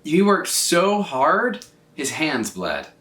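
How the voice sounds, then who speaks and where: A man reading a children's story aloud in an expressive, scripted style, with dramatic phrasing and drawn-out words. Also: a little high. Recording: roomy echo, distant from mic.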